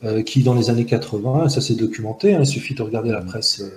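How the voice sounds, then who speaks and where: A man in his forties from Algeria, whose first language is Arabic, gives a reflective interview in a middle-pitched voice. He speaks earnestly and analytically, stumbling through fillers and restarts as he searches for words. The sound is echoey and roomy. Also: quick pace, a little mellow. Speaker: interview guest. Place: outdoors in a park-like garden by buildings.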